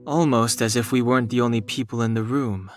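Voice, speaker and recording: A man plays a serious young male traveler in a game. His voice is mid-pitched, calm and measured. He speaks in a guarded, understated way with some music behind him. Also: close to an everyday voice. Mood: pensive. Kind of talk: restrained video game voice acting.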